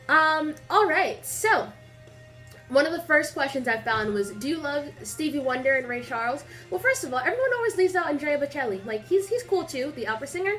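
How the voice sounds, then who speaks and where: Young woman vlogger, congested, chatty and rambling, a touch high-pitched. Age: young adult. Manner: rambling, filler-heavy, animated storytelling. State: stuffy nose. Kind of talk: casual chatty vlog. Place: small indoor room.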